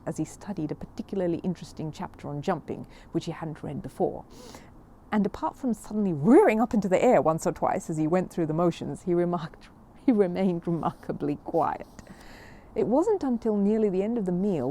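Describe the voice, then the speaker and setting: A woman reading a children's story aloud in an expressive, animated storytelling manner. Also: on the low side, a little hiss or hum.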